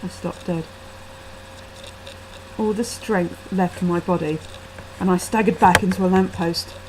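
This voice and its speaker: A woman's voice: lowish voice, unhurried, noisy background. A woman reading her own gothic short story expressively, recorded on a laptop webcam microphone. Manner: expressive, acting out the dialogue. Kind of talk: dramatic amateur reading of gothic fiction. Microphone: built-in laptop webcam microphone.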